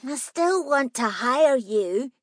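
A woman's voice: high voice, lively intonation, takes it slowly, no echo, studio quality, pitched above the performer's own voice, full character voice. Woman putting on a stubborn little girl. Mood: insistent. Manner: matter-of-fact, insistent, bossy. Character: nervous but stubborn girl of nine.

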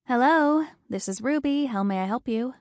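A woman voicing a frantic middle-aged wife who talks in high, breathless, urgent pleas. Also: expressive, moderate pace, a little mellow, no echo, clean studio sound, natural voice. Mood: worried.

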